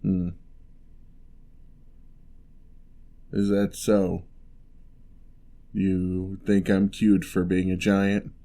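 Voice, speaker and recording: A man playing a huge, gentle, courteous giant in an ASMR roleplay, speaking softly with wry, teasing, old-fashioned politeness. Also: deep voice, completely flat delivery. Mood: apologetic, teasing. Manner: unhurried, soft-spoken, old-fashioned courteous phrasing.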